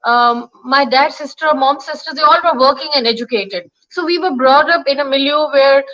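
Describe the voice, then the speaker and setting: A woman in her fifties from India joins the discussion as a guest over a video call. Her voice is high, and she speaks in a measured way, pausing with fillers. The call audio comes through dull and lo-fi. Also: fairly bright tone. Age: 50s.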